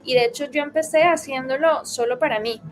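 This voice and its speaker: A woman's voice: high-pitched, a little fast, soft background music. A young woman from Colombia, raised in Venezuela, giving a hesitant interview full of fillers and restarts. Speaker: interviewee, founder. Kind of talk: interview testimony.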